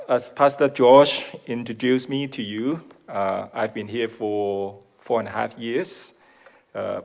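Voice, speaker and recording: Hong Kong-accented male preacher, native Cantonese speaker, modest and giggly, pauses often for his interpreter. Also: medium pitch, vocal fry. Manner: modest, with shy laughs between phrases. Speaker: guest preacher. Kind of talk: sermon delivered in short chunks for an interpreter.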